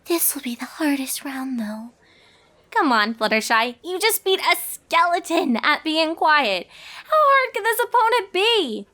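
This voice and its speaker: A woman voicing earnest, easily flustered young female cartoon ponies in a story reading, expressive and dramatic. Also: high voice, a little slow, pitched above the performer's own voice, exaggerated voice. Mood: anxious, hushed, then encouraging. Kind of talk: character dialogue in a fan story reading.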